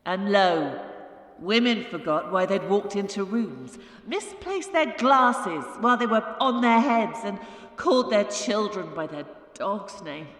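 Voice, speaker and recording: A woman's voice: medium pitch, expressive, a bit bright. An actress playing an indignant churchwoman delivering a theatrical mock-biblical sermon monologue from a pulpit. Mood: wry, indignant. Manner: theatrical, grandly declamatory, deliberate. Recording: echoey church-like hall reverb.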